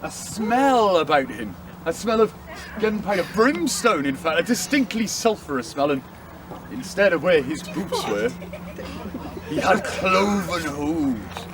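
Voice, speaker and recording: A man telling a pirate story live to an audience outdoors, lively and playful with little hesitations. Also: sits high, unhurried, crowd noise. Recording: outdoor street noise.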